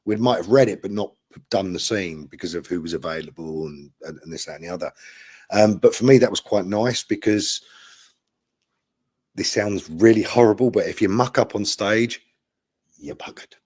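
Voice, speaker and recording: Deep-voiced man, thoughtful and explanatory. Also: moderate pace, a little mellow, slightly rough, no room sound. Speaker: actor with a theatre background. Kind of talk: casual interview answers.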